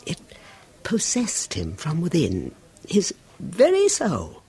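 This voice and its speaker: Husky, gravelly wife of a haunted musician; intense, confiding, lively rises and falls. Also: female voice, lowish voice, slow pace, full character voice. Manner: intense, confiding, drops to hushed whispers. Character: anguished wife of a tormented violinist. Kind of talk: 1970s radio drama acting.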